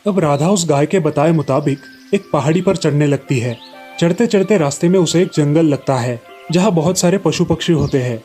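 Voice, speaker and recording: Higher-pitched man narrating a children's story. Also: moderate pace, dark tone, faint music behind.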